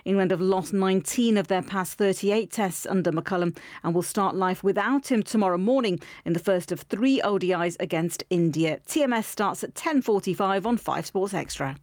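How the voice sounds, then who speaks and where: A woman reading a radio sports bulletin in a mid-range voice. Her delivery is brisk, clear and even. The studio sound is dry and close. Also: medium pitch, no room sound. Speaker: sports news reader.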